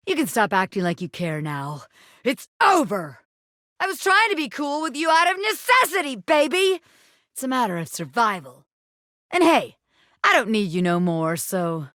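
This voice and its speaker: A scrappy little ex-gangster turned showgirl for a video game. The voice is high and forceful, swinging widely in pitch with cocky, streetwise swagger. It is recorded very dry and close. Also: no echo, heavily acted. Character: brash, scrappy bobby pin, ex-gangster turned flirty magician showgirl. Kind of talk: animated video game character acting.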